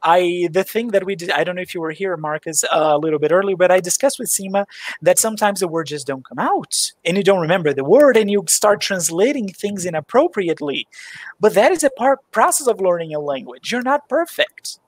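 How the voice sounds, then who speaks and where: A man's voice: high voice, animated, brisk, dry recording. A man in his twenties from Brazil, a native Portuguese speaker and English teacher, talking earnestly on a video call through a headset. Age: 20s. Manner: talkative, earnest, explains with sayings and examples. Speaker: English teacher, interview guest. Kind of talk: casual video call chat. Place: small room indoors.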